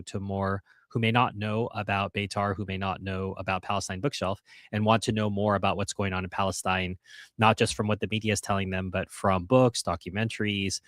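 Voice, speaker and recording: A man's voice: fairly low pitch, little pitch movement, fairly quick, fairly bright tone, dry recording, clean studio sound. A man hosting a casual livestream, reading out chat comments, earnest with restarts and wry asides. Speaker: host of a livestream.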